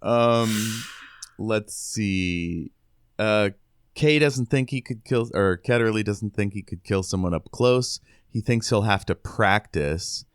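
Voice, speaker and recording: A man's voice: on the low side, slow pace, smooth, no room sound, clean studio sound. An American man co-hosting a podcast, talking casually as he discusses a book. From United States. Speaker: podcast co-host.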